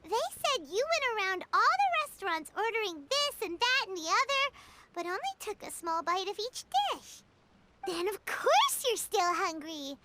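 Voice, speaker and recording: A woman voicing a tiny, excitable female fairy companion in a video game who talks about herself by name. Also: very high-pitched, moderate pace, very noisy. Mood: chatty. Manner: bubbly, refers to herself by name. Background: game music.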